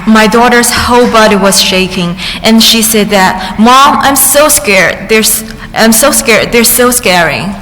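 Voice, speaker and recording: A woman interpreting testimony into English at a public hearing, halting at times, with restarts and cut-off words. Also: a little high, a bit bright, soft background music. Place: large public hearing chamber. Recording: echoey hearing room with faint ambience.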